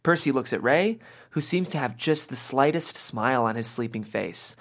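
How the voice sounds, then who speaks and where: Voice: medium pitch, expressive, moderate pace. Narrator for a screenplay table read, middle-register voice, reading scene headings and action lines. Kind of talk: reading screenplay stage directions aloud.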